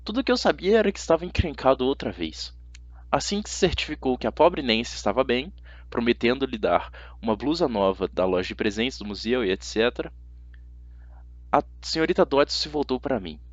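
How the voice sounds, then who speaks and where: A Brazilian-accented man reads a first-person novel aloud. His delivery is lively and dramatic, with wide swings in pitch. The sound is very dry and a little dull. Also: a little fast, no echo. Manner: dramatic, expressive reading. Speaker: amateur audiobook narrator. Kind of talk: scripted first-person story narration. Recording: slightly dull, dry audio.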